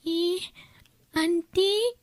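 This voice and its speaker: A woman's voice: very high-pitched, very slow, no echo, clean studio sound, heavily acted. A woman with a Filipino accent playing a meek, hesitant young schoolgirl niece in an audio drama. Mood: timid, pleading. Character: timid young schoolgirl niece begging a loan. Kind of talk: Ilocano audio drama acting.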